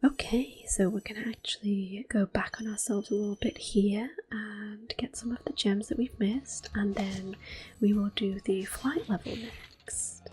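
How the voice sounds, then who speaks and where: A woman hosting ASMR gameplay, talking softly and gently with quiet laughs. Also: mid-range voice, steady tone, measured pace. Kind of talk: whispered ASMR gameplay commentary.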